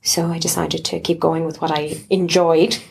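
An Irish woman in her thirties talks through her views in an interview, pausing and restarting as she explains. Her voice sits a little low with a noticeable vocal fry, and a faint hiss runs underneath. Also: level tone, a little mellow, low-quality recording. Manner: thoughtful, explanatory, with restarts and asides. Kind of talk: conversational interview.

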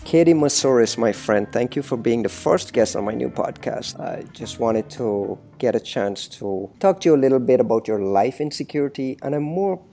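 A man hosts an interview podcast in a creaky, gravelly voice of medium pitch. He moves between a prepared introduction and conversational questions. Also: animated, moderate pace, few pauses, mellow, soft background music.

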